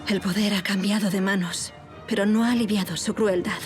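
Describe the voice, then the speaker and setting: A woman's voice: mid-range voice, fairly even intonation, fairly quick, faint music behind, lightly acted. An actress playing an anguished aristocratic woman of revolutionary France who tells her own story in formal, literary language in an audio drama. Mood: somber, reflective. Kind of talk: dramatic audio fiction monologue and dialogue.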